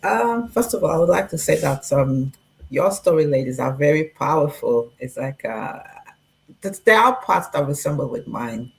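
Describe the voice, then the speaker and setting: A woman in her forties, from Portugal, telling her own story in a relaxed podcast chat with frequent pauses and fillers. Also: low voice, vocal fry, poor audio. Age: 40s. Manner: reflective, laughs easily, many fillers. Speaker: guest. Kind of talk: casual podcast conversation.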